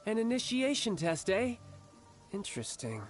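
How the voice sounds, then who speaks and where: An actor voicing a suave, smugly confident young warrior in a video game, with polished, grandiose and mock-courteous phrasing. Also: male voice, high-pitched, big pitch swings, moderate pace, soft background music.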